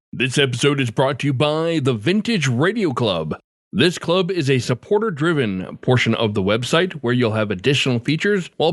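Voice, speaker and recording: A dry, close studio sound carries a man hosting a podcast. His mid-pitched voice moves with lively intonation through a scripted introduction. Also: dry recording. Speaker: podcast host.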